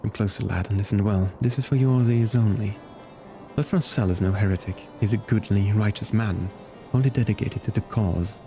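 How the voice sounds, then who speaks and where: A man voicing a secretive male servant of a noble house, with hushed, urgent lines. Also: lowish voice, normal speed, faint music behind, lightly acted.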